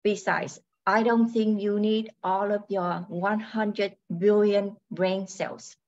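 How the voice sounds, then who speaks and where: An older woman playing a polite, coldly calculating robot wife in an amateur radio play, speaking in a measured, matter-of-fact robotic manner. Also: medium pitch, steady tone, a little mellow, slight background noise. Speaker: amateur radio drama actor. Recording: dull, muffled lo-fi sound.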